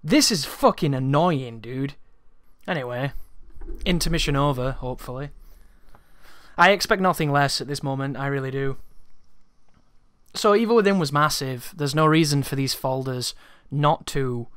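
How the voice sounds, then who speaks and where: A man's voice: mid-range voice, normal speed, long pauses, a bit bright, clean tone, no echo. A man telling a casual, rambling story to his viewers in an exasperated, sweary way, close to the microphone. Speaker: YouTuber.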